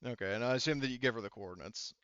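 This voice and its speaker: A man narrates and rules on a tabletop roleplaying game as game master. His voice is smooth and mid-pitched, with a halting, explanatory delivery. The recording is close and dry. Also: fairly bright tone, dry recording, highs cut off.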